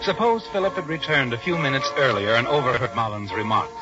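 A man's voice: medium pitch, fairly quick. A man narrating a radio drama in the second person, in a confiding, insinuating tone. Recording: muffled old radio broadcast with faint music.

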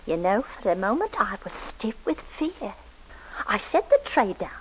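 A woman's voice: mid-range voice, sing-song, moderate pace, lightly acted. An actress playing a timid, eager-to-please landlady who stammers deferentially, in old-time radio drama acting. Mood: hushed narration. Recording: dull old radio recording with faint hiss.

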